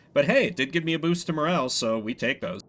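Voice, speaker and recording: A man's voice: on the high side, expressive, fairly quick, fairly bright tone. Congested man giving casual game commentary. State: congested with a minor cold. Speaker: streamer. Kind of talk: casual game playthrough commentary, voicing dialogue.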